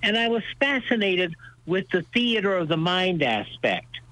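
A man's voice: high-pitched, sing-song, measured pace, bright, forceful tone, no echo, poor audio. An older American man telling stories in a radio interview, with an emphatic, folksy way of putting things. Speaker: radio show host being interviewed as guest. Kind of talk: conversational radio interview, storytelling.

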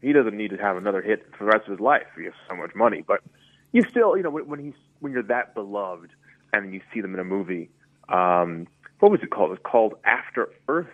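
A man's voice: fairly low pitch, animated, quick pace, narrow, tinny audio. A man in a casual chat, playful in his banter.

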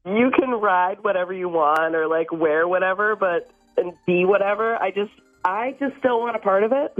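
A woman's voice: mid-range voice, moderate pace, faint music behind. A woman calling in to a radio show, blunt and exasperated. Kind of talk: blunt phone-in chat. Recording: tinny phone line.

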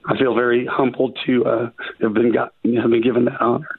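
A man with a raspy, husky voice that has gone gravelly from illness. He speaks over a thin, phone-like line as a call-in guest. He restarts his sentences often and talks in a halting way. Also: medium pitch, level tone. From Arkansas. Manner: halting, many restarts and fillers. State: raspy voice after covid. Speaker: judicial candidate, phone guest.

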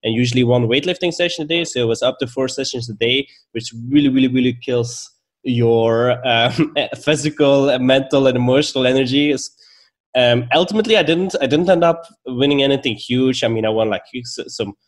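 A man's voice: medium pitch, a little fast. A young man from Belgium with a Belgian accent, a podcast guest telling his story in a friendly way, laughing often. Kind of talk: casual interview storytelling.

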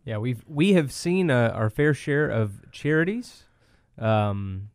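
A man's voice: mid-range voice, takes it slowly, clear, resonant tone, dry recording. Easygoing male co-host, medium voice, dry studio sound, filler-heavy radio chat. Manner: relaxed, lots of fillers. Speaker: auctioneer co-host.

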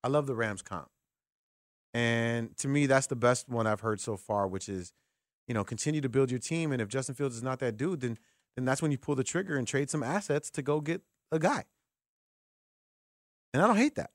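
A man's voice: mid-range voice, normal speed, long pauses, dry recording, studio quality. A man hosting a sports call-in radio show, thinking aloud in a conversational way and asking rhetorical questions. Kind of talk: sports call-in talk, opinionated commentary. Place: radio studio. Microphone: close studio microphone.

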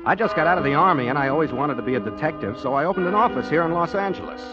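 An actor playing an eager, naive young ex-soldier turned private detective in a 1940s radio drama, with an American accent and a folksy, rambling, polite manner. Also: male voice, fairly high pitch, expressive, racing, close to an everyday voice. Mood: wry, chatty. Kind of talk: 1940s radio drama acting, chatty first-person narration. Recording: muffled 1940s radio recording, music behind.

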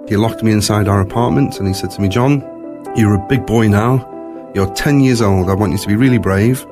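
Man, low pitch, faint Scottish accent, interview guest, reflective personal storytelling. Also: fairly quick, over music. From born in Scotland, moved to South Africa at three.

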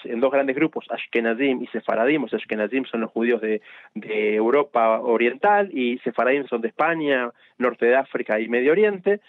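A man's voice: mid-range voice, dry recording. Argentine man explaining, slightly dull lo-fi sound. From Buenos Aires, Argentina. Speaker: interview guest, rabbi and journalist. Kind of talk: explanatory radio interview answers. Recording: dull, lo-fi radio line.

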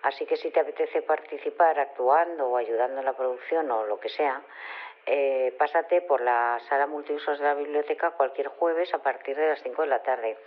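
A woman's voice: rumbling low, normal speed. An actress playing an earnest, bookish woman scientist leaving a sincere voicemail message through a telephone filter. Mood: earnest, enthusiastic. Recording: tinny phone-line voicemail.

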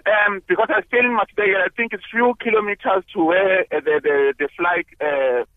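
A man's voice: sits high, steady tone, a little fast, no room sound. A man calling in to a radio show, talking hesitantly and searching for words. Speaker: caller. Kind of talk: call-in radio talk. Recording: tinny phone line.

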